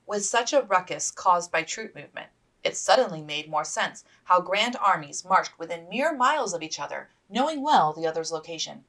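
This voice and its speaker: A woman narrates a period novel as its author, reading from the page. Her voice is on the low side with plenty of gravelly fry. She sounds a little distant from the microphone. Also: lively intonation, moderate pace, fairly bright tone. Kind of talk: scripted audiobook narration.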